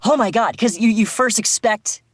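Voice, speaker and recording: A woman's voice: fairly high pitch, moderate pace, no echo, clean studio sound. A woman on a panel, chatting casually in a gushing, enthusiastic manner.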